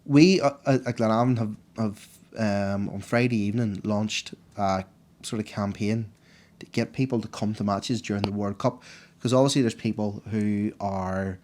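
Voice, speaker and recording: Hoarse, enthusiastic Northern Irish man. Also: lowish voice, moderate pace. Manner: enthusiastic, animated, laughs easily. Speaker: podcast co-host giving the sport round-up. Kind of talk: casual podcast chat.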